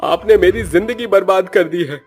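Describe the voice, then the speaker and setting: A man's voice: sits high, moderate pace, slightly rough, soft background music, pitched above the performer's own voice. A man voicing a melodramatic, hot-headed young bridegroom. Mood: angry, bitter. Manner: melodramatic, emphatic.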